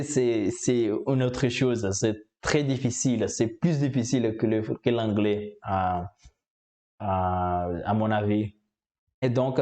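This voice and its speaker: A man from Brazil talks through how he learned French, his Brazilian Portuguese accent plain in every word. He speaks slowly, stopping often on fillers and repeating words as he searches for them. His voice is dark and mellow. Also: on the low side. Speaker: language learner. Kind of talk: casual explanatory talk.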